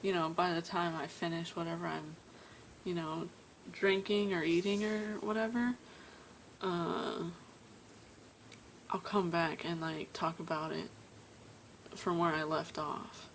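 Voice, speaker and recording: Stuttering woman with a low voice. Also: fairly even intonation, a little hiss or hum, rough recording. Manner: stutters and pauses mid-sentence. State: tired. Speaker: vlogger. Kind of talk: casual talk to camera. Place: at home indoors.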